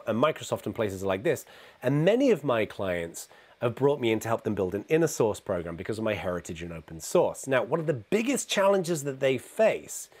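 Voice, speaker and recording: Hoarse, gravelly middle-aged man with a cold; lively, conversational keynote speaker talking from a home office. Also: medium pitch. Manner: energetic, conversational, asks "okay?" often. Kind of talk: conference keynote presentation. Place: home office with neon sign.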